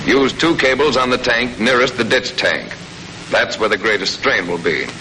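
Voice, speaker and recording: An old, noisy film soundtrack carries an American man's mid-pitched voice over hiss and vehicle noise. He narrates like a 1940s military instructional reel, laying out steps in a matter-of-fact, instructive way. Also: normal speed, pushed and bright.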